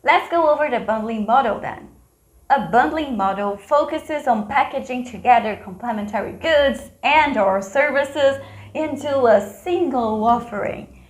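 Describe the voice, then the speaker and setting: A woman's voice: a little high, unhurried, roomy sound. A woman from Brazil with a Brazilian accent, giving a scripted business lesson with playful, chatty asides to the viewer. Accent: Brazilian Portuguese. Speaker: business educator.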